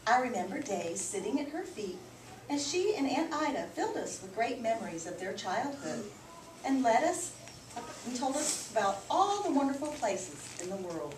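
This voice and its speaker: Lively high-voiced woman reading aloud, roomy recording. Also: moderate pace. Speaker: read-aloud teacher. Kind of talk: expressive picture book read-aloud. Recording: roomy, distant mic, clearly noisy background.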